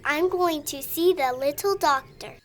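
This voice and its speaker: A performer playing a pious, earnest little girl of about seven in an audio drama, speaking in a deliberate, childlike way. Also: high-pitched, a bit bright. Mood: remorseful.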